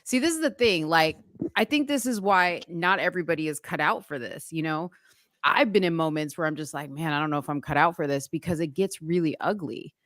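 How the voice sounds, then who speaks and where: A woman's voice: sits low, brisk, fairly bright tone, no echo, clean studio sound. A woman hosting a podcast, talking frankly and probing her guest, stretching words for emphasis. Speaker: podcast host. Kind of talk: direct podcast conversation.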